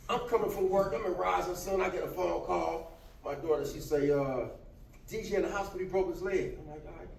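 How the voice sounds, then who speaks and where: A man in his fifties giving a casual talk to a class, animated and often laughing as he jokes with the audience. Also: sits high, a little fast, vocal fry, far from the mic. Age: 50s. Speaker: motivational speaker. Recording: echoey room, from afar, faint noise.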